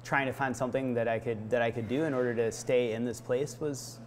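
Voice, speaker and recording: An American man in his thirties from Vermont giving reflective interview answers, haltingly, searching for words. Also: mid-range voice, steady tone. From Vermont, United States. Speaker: brewery founder being interviewed. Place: indoor barrel room of a brewery. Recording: noticeable background room noise.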